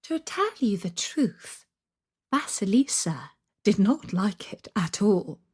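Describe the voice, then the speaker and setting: A woman tells a scary children's story as a scripted narration. Delivery is very slow and measured, with a soft-edged husky breathiness and lively, sweeping intonation. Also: medium pitch. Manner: expressive, measured.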